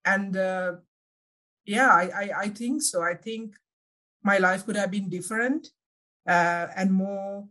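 A woman's voice: medium pitch, steady tone, bright, forceful tone. Interview guest: woman, Sri Lankan accent, second-language English, mid-range voice, searching for words as she reflects. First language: not English (English is second language). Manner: halting, searching for words, self-correcting. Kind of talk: reflective personal interview answers.

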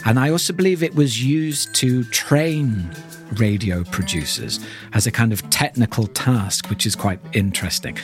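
A man's voice: medium pitch, moderate pace, soft background music. A man hosting a podcast, talking through an introduction in a thoughtful, explanatory way. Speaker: podcast host.

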